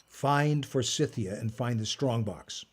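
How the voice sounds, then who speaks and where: Measured, commanding old magician played by a man. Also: mid-range voice, husky. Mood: calm, explanatory. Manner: measured, formal, lecturing. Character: old male magician and artifact collector, commanding, smugly moralizing. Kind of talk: audio drama acting.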